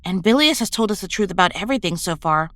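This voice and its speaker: A woman's voice: medium pitch, expressive, fast, fairly bright tone, no room sound, natural voice. Woman playing an earnest young heroine, dry sound. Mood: earnest, pleading. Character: earnest, idealistic young female superhero. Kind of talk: audio drama acting.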